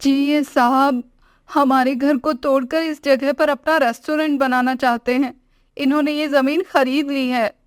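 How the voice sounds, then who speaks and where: A woman's voice: high voice, fairly even intonation, unhurried, dry recording, clean studio sound. A woman voicing a poor, worried young village wife, polite and plaintive, in a cartoon moral story. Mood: pleading.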